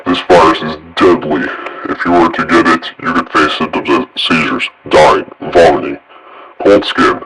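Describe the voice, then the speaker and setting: A man playing a grave emergency alert announcer who delivers warnings in a halting, repetitive way. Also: a bass voice, steady tone, low-quality recording. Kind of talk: improvised emergency broadcast announcement.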